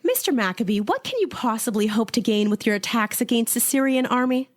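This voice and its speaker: A woman's voice: a little high, animated, fairly quick, dry recording, studio quality, natural voice. A woman playing a formal, challenging news interviewer in old-time radio style.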